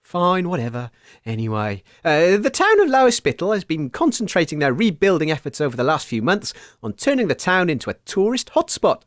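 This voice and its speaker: Chirpy, lively-voiced comedy host. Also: male voice, on the high side, fairly quick, dry recording, studio quality. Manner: chirpy, laughing often. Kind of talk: scripted comedy banter.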